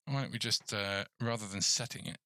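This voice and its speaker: Male tutorial host, low bright voice, muttering through code in a casual way, close dry mic. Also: brisk, creaky, no room sound. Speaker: game development tutorial host. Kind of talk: casual coding tutorial, thinking aloud.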